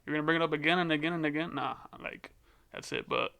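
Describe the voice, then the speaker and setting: Bright-voiced young man with a stutter. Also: fairly high pitch, fast talker, vocal fry, clean tone. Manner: stutters, repeats words. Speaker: podcast guest. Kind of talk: casual podcast chat.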